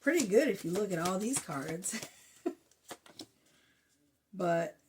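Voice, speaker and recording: A woman giving a casual tarot reading to her viewers, blunt and profane in her chatty way, talking through a sore, slightly hoarse throat. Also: on the low side, lively intonation, takes it slowly, slight background noise. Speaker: tarot reader.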